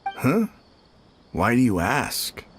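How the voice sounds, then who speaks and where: A man voicing a casual, slightly defensive townsman in a video game, talking in a nonchalant way with drawn-out asides. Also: medium pitch, huge pitch swings, slight background noise, heavily acted. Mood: wary, confiding. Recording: game music behind voice.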